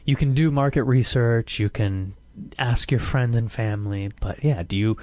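A man's voice: medium pitch, moderate pace, dark tone, no room sound. A man hosting a casual, conversational interview in an encouraging, supportive way. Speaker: interview host.

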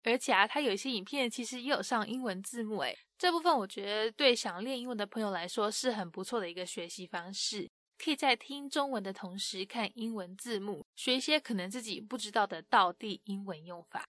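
A woman narrating and explaining the interview clips in a clear teaching tone. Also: medium pitch, a little fast, few pauses, fairly bright tone. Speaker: program narrator.

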